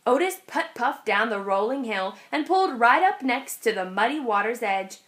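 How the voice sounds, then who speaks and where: Animated woman reading a children's story. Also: fairly high pitch, normal speed. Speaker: read-aloud storyteller. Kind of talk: expressive children's picture book narration.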